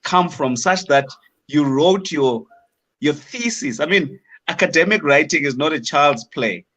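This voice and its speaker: A man's voice: fairly high pitch, expressive, unhurried, a bit bright, poor audio. A man hosting a podcast, asking encouraging questions and repeating words as he searches for them. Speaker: podcast host. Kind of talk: interview questions.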